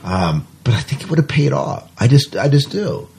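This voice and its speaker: A man co-hosting a podcast, chatting casually about the news in a husky voice. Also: medium pitch, big pitch swings, moderate pace, a little mellow. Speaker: podcast host.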